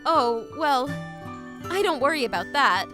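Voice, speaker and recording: A sweet young female student from a manga cartoon, played by a woman, frank and polite. Her voice is high-pitched and matter-of-fact. Music runs clearly underneath. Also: expressive, measured pace. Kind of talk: manga dub dialogue. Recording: music behind the voice. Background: background music.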